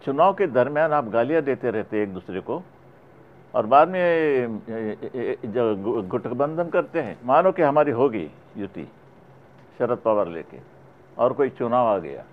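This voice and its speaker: A man making spontaneous political remarks, halting and repeating words, in a narrow, slightly noisy recording. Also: mid-range voice, very animated. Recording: muffled phone-like archive audio, noisy.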